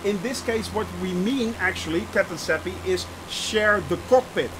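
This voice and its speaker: A man's voice: sits high, normal speed, noisy background. A man hosting a flight simulator live stream, talking casually to his chat, thinking aloud with frequent hesitations and greeting viewers by name. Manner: frequent hesitations and restarts while explaining. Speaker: livestream host of a flight simulator channel.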